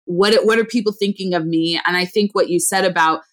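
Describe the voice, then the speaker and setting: A woman's voice: lowish voice, brisk, fairly bright tone. A woman hosting an interview, affirming and reflective, with many "you know"s. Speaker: host.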